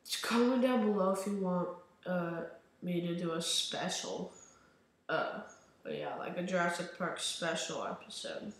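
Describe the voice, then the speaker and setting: A man's voice: sits high, a little slow, a bit bright. A young man hosting a gaming channel, chatting casually over gameplay in a rambling way and saying he is losing his voice. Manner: rambling, chatty, talks to viewers. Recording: very echoey room.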